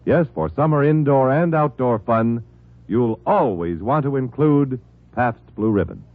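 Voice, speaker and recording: A man's voice: medium pitch, animated, a little slow, dry recording. An American man announcing for a sponsor on 1950s radio in a polished, scripted, salesmanlike delivery. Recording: tinny 1950s radio recording.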